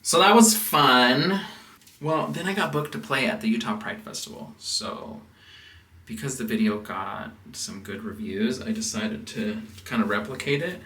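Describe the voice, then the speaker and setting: An American man telling a personal story as a casual vlog host, in a rambling, halting way. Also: medium pitch, expressive, bright, forceful tone. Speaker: house music producer and DJ hosting a vlog. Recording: roomy, distant mic with background noise.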